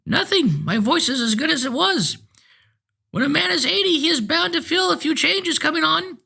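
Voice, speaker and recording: A man's voice: extremely high pitch, animated, moderate pace, creaky, pushed and bright, voice pushed up, exaggerated voice. A man reading a story aloud, voicing a frail, cranky eighty-year-old man with a thin, piping voice. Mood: testy.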